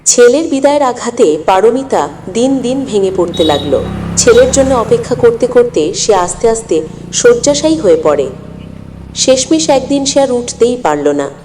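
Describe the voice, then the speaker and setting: A woman's voice: a little high, a little mellow, a little hiss or hum. A woman narrating a scripted moral story in an earnest, dramatic storytelling manner. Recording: long hall-like reverb.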